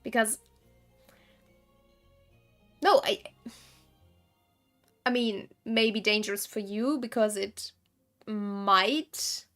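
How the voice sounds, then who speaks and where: A woman giving casual gameplay commentary as a streamer, chatty and thinking aloud in halting bursts. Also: medium pitch, fairly bright tone, soft background music. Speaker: game streamer.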